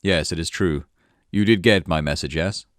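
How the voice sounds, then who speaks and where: A man acts out a storybook knight who is in command. His voice is very low and sounds dry and close. He stays polite and controlled, with an impatient edge. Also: moderate pace, no room sound, lightly acted. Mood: firm, impatient. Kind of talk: acted story dialogue.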